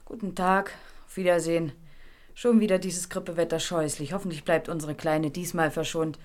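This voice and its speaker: Low-pitched, teasing young woman, played by an actress. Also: fairly even intonation, moderate pace, natural voice. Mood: teasing, probing. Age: young adult. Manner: teasing, dry, probing. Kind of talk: German radio play dialogue.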